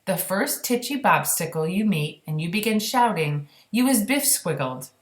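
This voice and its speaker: A woman voicing a friendly, calm giant from a storybook, unhurried and folksy, with muddled words. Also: fairly low pitch, natural voice. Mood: calm, instructing. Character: friendly old giant, calm, simple, mangled grammar. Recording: roomy, echoey sound.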